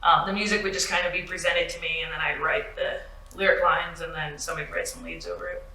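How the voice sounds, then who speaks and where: A woman's voice: lowish voice, fairly even intonation, fast, pushed and bright, distant mic. A woman who sings and writes lyrics for a band, speaking hesitantly with many fillers and giggles. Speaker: band singer and lyricist guest. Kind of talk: casual interview chat. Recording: roomy, off-mic.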